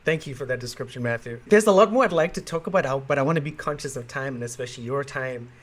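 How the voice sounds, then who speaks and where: A man's voice: medium pitch, quick pace. A man from South Africa hosting an interview, asking questions in a polite, conversational way. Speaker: interview host.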